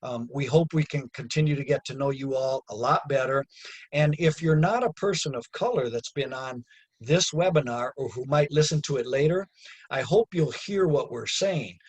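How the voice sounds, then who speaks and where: A man's voice: mid-range voice, moderate pace, breathy edge, low-quality recording. A man giving closing remarks on a webinar over a video call, earnest and growing emphatic. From United States. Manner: earnest, gets emphatic and raised. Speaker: community organizer.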